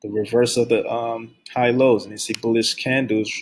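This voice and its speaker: A young man teaching forex basics, keeping his voice low and subdued, and repeating key phrases over and over as he drills them in. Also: flat delivery, normal speed, a little hiss or hum. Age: young adult. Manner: speaks low and subdued on purpose. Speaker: forex trading instructor. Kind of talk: screen-share trading lesson. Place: at his workplace.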